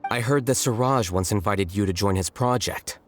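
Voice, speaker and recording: An actor playing an aloof, rational young male scholar in a video game, speaking in a measured, detached, articulate way. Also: fairly low pitch, slight background noise, lightly acted. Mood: curt. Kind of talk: English video game voice acting. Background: music.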